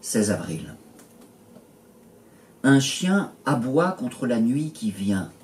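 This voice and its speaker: A man reading his poetry in a literary style, measured and pausing between short phrases. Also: mid-range voice, big pitch swings. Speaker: poet reading own poems. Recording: roomy echo, distant mic, faint noise.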